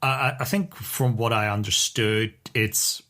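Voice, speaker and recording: A man's voice: on the low side, fairly even intonation, a little slow, pushed and bright. A man co-hosting a podcast in casual conversation, with an opinionated, wry way of talking. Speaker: podcast co-host.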